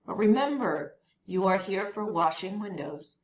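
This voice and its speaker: A woman's voice: mid-range voice, expressive, measured pace, muffled recording, lightly acted. Woman reading as a firm storybook elevator man. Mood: firm instructive. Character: brisk male elevator man, bossy but welcoming. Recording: roomy echo, faint background noise.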